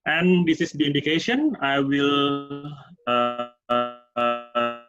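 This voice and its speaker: A man with an Indonesian accent giving a medical lecture as a urologist, speaking in halting, hesitant phrases. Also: mid-range voice, music in the background. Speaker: urologist presenting at a medical webinar. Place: online webinar. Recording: dull, roomy webinar audio.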